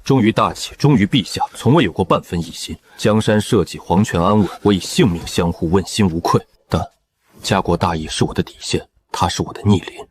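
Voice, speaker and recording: A man acting a deep-voiced, stern loyal general. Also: brisk, slight background noise, natural voice. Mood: defiant anger. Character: stern loyal young general, fiercely protective husband. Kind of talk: Chinese costume drama dialogue.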